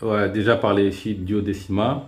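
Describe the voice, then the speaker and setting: A man with a fairly low voice lays out his points step by step. He hesitates often and restarts his sentences, and faint music plays under the live-stream call. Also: little pitch movement, moderate pace. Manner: hesitant, many fillers and restarts, reasoning step by step. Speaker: host. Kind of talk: calm religious debate on a live stream. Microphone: remote live-stream call.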